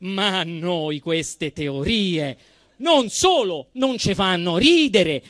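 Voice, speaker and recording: A man with a Roman accent performs a satirical stage monologue in a very high-pitched, forceful voice. His delivery swings widely, emphatic and indignant with a mock-solemn edge. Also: animated, takes it slowly, no room sound, studio quality. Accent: Roman Italian. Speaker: actor performing a comic monologue. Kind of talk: theatrical satirical monologue, storytelling.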